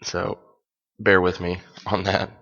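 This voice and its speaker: A man hosting a casual solo podcast with a hoarse voice, rambling and correcting himself as he talks, with small jokes along the way. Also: low-pitched, flat delivery, slow, fairly bright tone, highs cut off. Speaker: podcast host.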